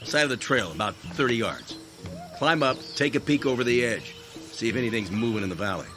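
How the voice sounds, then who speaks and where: An American actor playing a brusque, sarcastic army sergeant who barks orders in a radio drama. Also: male voice, medium pitch, animated, brisk, over music, lightly acted. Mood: commanding. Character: gruff commanding male army sergeant on patrol.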